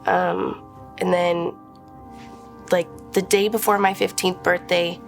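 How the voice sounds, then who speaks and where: Halting, gravelly young woman's testimony. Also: lowish voice, little pitch movement, faint music behind. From Idaho, USA. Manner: hesitant, halting, many fillers. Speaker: interviewee. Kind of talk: personal testimony.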